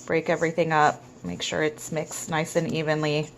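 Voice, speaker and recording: A woman with a cold walks through a home cooking tutorial in a somewhat low, raspy voice. She gives relaxed step-by-step instructions with plenty of ums. Faint background noise sits under her voice. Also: normal speed. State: hoarse with a cold. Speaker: home cook.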